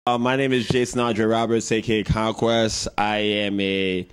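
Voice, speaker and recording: A man's voice: lowish voice, level tone, normal speed, background noise. A young man telling his story in a casual interview, rambling with many fillers and restarts. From Trinidad and Tobago. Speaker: guest, hip-hop artist.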